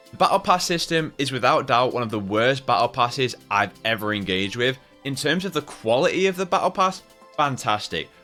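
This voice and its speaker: Male gaming commentator, mid-pitched and bright, chatty and opinionated, music underneath. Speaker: video game commentator. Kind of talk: casual opinion commentary to camera or mic. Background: background music.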